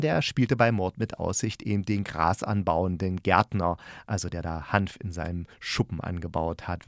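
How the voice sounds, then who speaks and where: A man's voice: fairly low pitch, no room sound. Chatty man reviewing, dry close sound. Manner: chatty, thinking aloud, self-correcting. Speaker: host of an audio drama review show. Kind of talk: casual spoken review to listeners.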